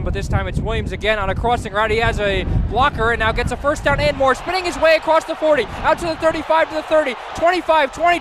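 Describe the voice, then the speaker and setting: A man calling football play-by-play live on radio, building to excited calls on big plays. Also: high-pitched, noisy background. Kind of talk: live radio sports commentary. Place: live football game broadcast.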